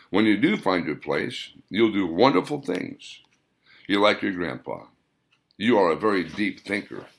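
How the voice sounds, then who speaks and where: A man voicing a kindly, wise old mountain-man grandfather in an audiobook, speaking gently and deliberately with encouragement. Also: on the low side, vocal fry, heavily acted.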